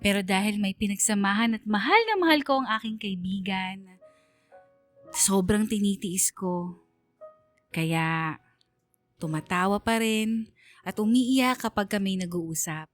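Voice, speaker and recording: A woman's voice: mid-range voice, unhurried, long pauses, soft background music, lightly acted. An actress playing a troubled young woman who narrates her own story in a radio drama, confiding and earnest. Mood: wistful, confiding.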